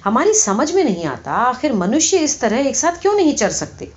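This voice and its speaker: A woman's voice: sits high, very animated, pitched above the performer's own voice. A woman reads as an earnest talking donkey from a satirical storybook. He speaks formally and philosophically, like a speechmaker, with mock pomp. The sound is slightly lo-fi. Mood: earnest, preaching. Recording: slightly dull, lo-fi audio.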